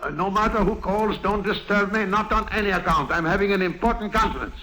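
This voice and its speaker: An actor playing a pompous, jealous, gullible middle-aged bank official in a theatrical 1950s radio drama style. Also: male voice, sits high, fairly quick, poor audio. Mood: flustered, self-important. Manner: theatrical, fussy, self-important, excitable. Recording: old 1950s radio broadcast recording.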